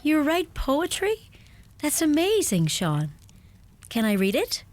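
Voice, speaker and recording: An actress playing a warm, curious young woman in a radio play. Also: sits high, huge pitch swings, slow, heavily acted. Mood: friendly, intrigued. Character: warm, curious young American woman new to rural Ireland.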